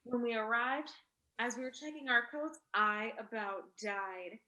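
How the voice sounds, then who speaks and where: Actress as a bright, gushing vain socialite, echoey room. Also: female voice, on the high side, unhurried. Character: vain glamorous wealthy socialite being interviewed on television. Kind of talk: staged play reading. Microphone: video call. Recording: roomy video-call audio.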